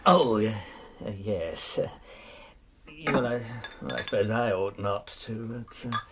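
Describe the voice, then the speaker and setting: An actor in a radio drama playing a bumbling, genial, evasive older superintendent with a hesitant, plummy manner. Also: male voice, fairly low pitch, measured pace, background noise.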